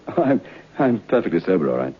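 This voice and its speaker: Earnest young student in old radio drama, lively pitch, husky, bit muffled. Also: male voice, normal speed, full character voice. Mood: curious, earnest. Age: young adult. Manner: polite, hesitant, mildly defensive. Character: polite, earnest young male divinity student. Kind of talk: vintage radio drama dialogue acting.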